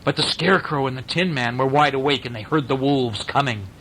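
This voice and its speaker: A man tells a bedtime story in an informal, animated manner. His mid-pitched voice is bright and forceful, over a faint rumbling noise. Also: a little fast, poor audio. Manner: animated retelling with informal asides to a listener.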